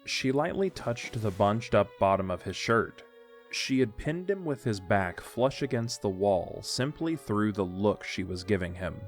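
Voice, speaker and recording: A man with a fairly low voice narrates a fantasy story. His delivery is measured and literary, with faint music running underneath. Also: lively intonation. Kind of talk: scripted audio drama storytelling.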